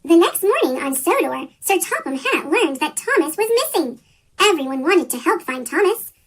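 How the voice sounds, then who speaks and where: Very high, lively chipmunk-voiced storybook reader. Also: moderate pace. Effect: sped-up chipmunk pitch shift. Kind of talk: scripted storybook read-aloud.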